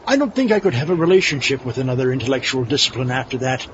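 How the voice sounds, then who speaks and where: A man's voice: medium pitch, very animated, fast talker, natural voice. A man playing a callous, fussy mad scientist in a comedy audio drama, theatrical and hesitant. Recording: dull, noisy sound.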